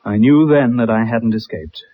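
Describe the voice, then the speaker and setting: An actor playing a bitter, haunted young ex-soldier in a dramatic 1960s radio play. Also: male voice, medium pitch, normal speed, lightly acted. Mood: resigned. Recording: muffled, dull old radio recording.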